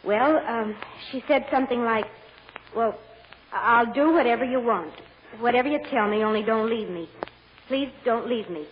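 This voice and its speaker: An actress playing an earnest, deferential hotel chambermaid on the witness stand in a radio courtroom drama, answering in a hesitant, plain-spoken way. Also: female voice, a little high, moderate pace, close to an everyday voice. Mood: insistent, earnest. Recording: muffled vintage radio recording with clear noise.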